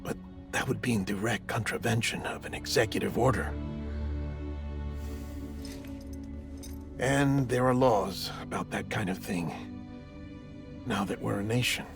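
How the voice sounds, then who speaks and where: A man playing a hesitant, grave government aide in a video game, speaking in a measured, halting way like someone torn by conscience. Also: on the low side, creaky, music in the background. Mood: conflicted, subdued. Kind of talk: dramatic video game cutscene acting.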